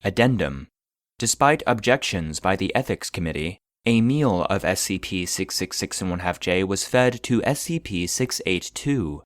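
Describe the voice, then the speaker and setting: A man reading scripted narration in the style of a scientific report, formal and deadpan. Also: sits low, moderate pace, no echo, studio quality.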